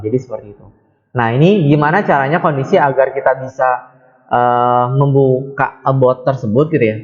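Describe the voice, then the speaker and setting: A man explains code in a relaxed, unhurried tutorial. His voice sits at an ordinary middle pitch, and he pauses on fillers and corrects himself as he goes. The recording sounds a little dull and lo-fi. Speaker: programming tutor. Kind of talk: screen-recorded coding tutorial.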